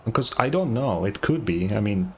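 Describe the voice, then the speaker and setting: A man's voice: on the low side, lively intonation, moderate pace, slight background noise. A man who is not a native English speaker, giving casual commentary on a game, musing aloud and swearing. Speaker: gaming streamer. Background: game music.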